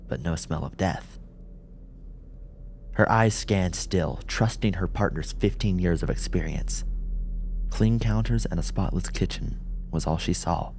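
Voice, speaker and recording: Very deep-voiced man narrating a suspenseful story. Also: darkish tone, slight background noise. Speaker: audiobook narrator. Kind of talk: dramatic scripted narration of horror fiction.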